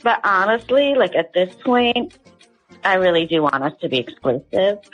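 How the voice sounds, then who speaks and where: A woman phoning a radio show over background music. She talks casually at a middle pitch, rambling and hesitant as she explains herself. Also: big pitch swings, normal speed, lo-fi sound. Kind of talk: casual chat.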